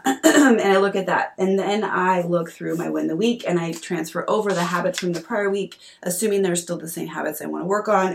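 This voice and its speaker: A woman in her thirties hosting a podcast at home, hoarse from a cold, talking in a chatty, earnest way. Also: lowish voice. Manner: chatty and earnest, with fillers and coughs. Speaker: podcast host and coach. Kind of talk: casual solo podcast talk. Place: at home at night.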